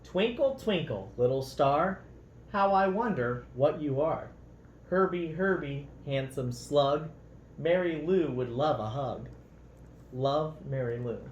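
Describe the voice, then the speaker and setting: A man's voice: on the high side, slow, lo-fi sound. A man voicing a sweet, adoring female slug poet, reciting singsong verse from a storybook. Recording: roomy echo, faint background noise.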